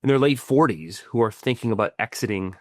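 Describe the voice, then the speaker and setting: A man's voice: mid-range voice, brisk, no room sound, clean studio sound. A middle-aged man co-hosting a podcast as a financial planner, explaining ideas in casual chat with many restarts and "right?" tags. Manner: stumbling restarts, frequent tag questions.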